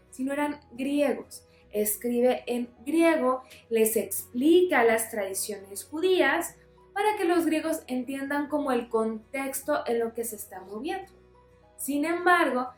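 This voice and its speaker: A woman's voice: high voice, darkish tone, reverberant. A woman teaching a Bible lesson in a friendly way, explaining with everyday examples. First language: Spanish.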